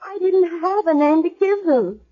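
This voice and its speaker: High-voiced, bewildered young woman. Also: animated. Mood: confused, anxious. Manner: hesitant, halting, repeating words. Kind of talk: 1970s radio drama acting. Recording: dull lo-fi old radio broadcast.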